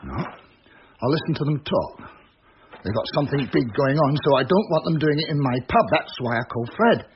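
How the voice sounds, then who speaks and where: An actor playing a gruff, guarded ex-convict pub landlord in a radio drama, curt and defiant. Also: male voice, fairly high pitch, big pitch swings, normal speed. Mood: wary, frank. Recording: dull lo-fi radio audio, clear noise.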